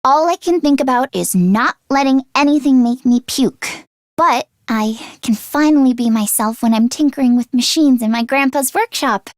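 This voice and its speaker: Woman doing a high pitched fretful teen girl for a video game, sweet n easily flustered, dry close sound. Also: moderate pace, dry recording, lightly acted. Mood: scared, insecure, then fond, nostalgic. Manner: expressive, fretful, earnest. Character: anxious teenage girl mechanic, self-deprecating, sweet, easily flustered. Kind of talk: video game character voice acting.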